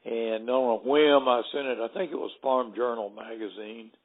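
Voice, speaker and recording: A man's voice: medium pitch, moderate pace. An older man from Alabama with a Southern accent, telling outdoor stories as a podcast guest in a folksy, chuckling way. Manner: folksy, chuckling between anecdotes. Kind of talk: casual storytelling chat. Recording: narrow phone-like podcast audio.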